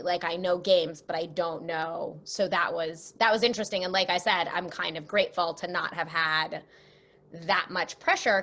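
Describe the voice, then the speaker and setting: A woman chatting casually as an interview guest, expressive and jokey. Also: on the low side. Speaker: voice actress guest.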